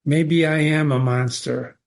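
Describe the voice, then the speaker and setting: A man reading the lines of a miserable woman who speaks softly and hoarsely. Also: steady tone, takes it slowly. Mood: pleading. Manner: soft, low and hoarse.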